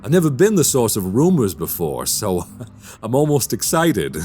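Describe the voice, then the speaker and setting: A man's voice: medium pitch, wildly swooping pitch, normal speed, warm tone, faint music behind. A man playing an affable, self-deprecating bachelor writer in a radio drama, with a wry, theatrical way of talking. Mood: eager, intrigued.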